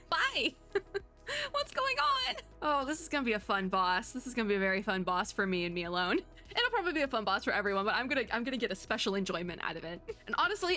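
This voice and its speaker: Bright, giggly woman reacting for viewers. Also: high voice. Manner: giggly, excitable, rambling. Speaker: gaming vlogger reacting to game trailers. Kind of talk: casual reaction commentary to viewers.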